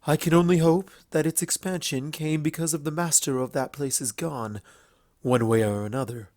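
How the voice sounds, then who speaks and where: A man's voice: fairly high pitch, steady tone, normal speed, a little mellow, no echo, clean studio sound, close to an everyday voice. A man voicing a playful, imperious female alicorn pony princess in a story reading, with formal, grandiose speech. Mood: wistful, reserved. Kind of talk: fan fiction character reading.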